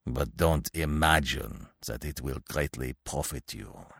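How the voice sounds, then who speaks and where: A man voicing a sullen, resentful and fearful ferryman with a gruff, exclamatory manner in an audiobook story. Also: a bass voice, sing-song, moderate pace, dry recording, clean studio sound, exaggerated voice. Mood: sullen refusal. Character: sullen, frightened ferryman, angry and resentful, then pleading.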